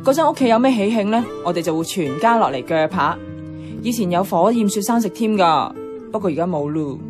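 A woman's voice: fairly low pitch, lively intonation, fairly quick, music in the background, natural voice. An actress playing a nostalgic, adventurous young woman in a radio drama, chatting with a Hong Kong Cantonese accent. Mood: fond reminiscing. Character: nostalgic, playful, adventurous young woman revisiting her childhood neighbourhood.

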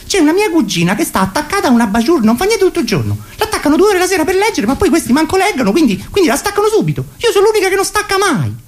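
Guy doing a high whiny overworked lady plug, roman accent, fed up and indignant, comedy radio sketch. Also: huge pitch swings, racing, hardly any pauses, heavily acted. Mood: aggrieved. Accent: Roman Italian. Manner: whining, indignant, rambling complaint. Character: exasperated, overworked female electrical plug, complaining about her job. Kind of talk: comic radio sketch monologue.

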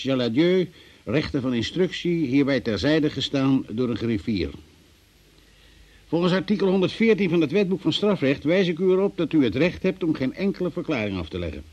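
An actor playing a formal, businesslike examining magistrate in a Dutch-language radio play. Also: male voice, a little high, animated, a little fast, darkish tone. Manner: formal, official, procedural phrasing. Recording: dull, muffled old radio recording.

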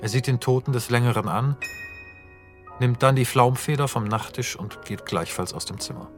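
Calm male radio play narrator. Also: medium pitch, normal speed, faint music behind. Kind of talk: scripted narration of stage directions.

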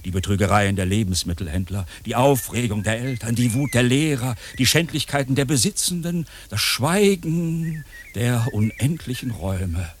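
Actor as theatrical, animated philosophising uncle. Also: male voice, medium pitch, moderate pace, slight background noise, close to an everyday voice. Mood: pompous, musing. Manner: declamatory, dramatically drawn out when musing. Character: theatrical, world-weary philosophising uncle, exasperated but grandiose. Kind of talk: German radio play acting.

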